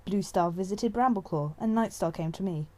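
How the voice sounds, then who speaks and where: A woman's voice: medium pitch, normal speed, darkish tone, close to an everyday voice. A woman voicing a blunt, sceptical female warrior cat in an audiobook, matter-of-fact and challenging.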